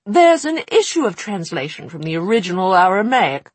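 A woman's voice: mid-range voice, sing-song, moderate pace, husky, no echo. A woman voicing an earnest, bookish man who lectures eagerly. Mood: lecturing.

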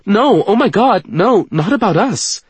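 A man's voice: medium pitch, big pitch swings, moderate pace, breathy edge, no echo, voice pushed up. A man voicing an anxious, self-doubting young woman in an audiobook, tender and apologetic, with a hesitant, emotional delivery. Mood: distressed, frustrated. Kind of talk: audiobook dialogue acting.

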